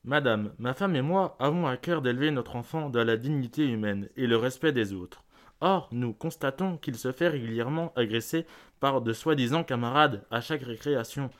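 A man's voice: mid-range voice, moderate pace, natural voice. A young male amateur actor playing a combative, protective father in a theatrical letter reading. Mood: polite menace. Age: teenager or young adult student.

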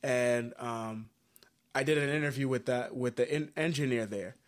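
A man's voice: mid-range voice, normal speed. Earnest man from Haiti, musician. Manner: earnest, reflective, many fillers and restarts. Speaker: musician guest. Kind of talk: casual interview chat.

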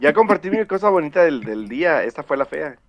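A man's voice: a little high, very animated, fast. A man chatting casually as a podcast panelist, dropping short, teasing remarks. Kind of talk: casual chat. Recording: slightly dull, lo-fi audio.

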